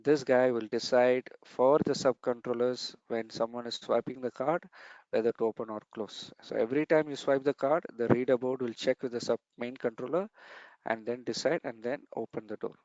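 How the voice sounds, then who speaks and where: A man's voice: mid-range voice, steady tone, moderate pace, a little mellow, no echo, highs cut off. A man giving a technical training lesson over slides, explaining step by step and repeating key points.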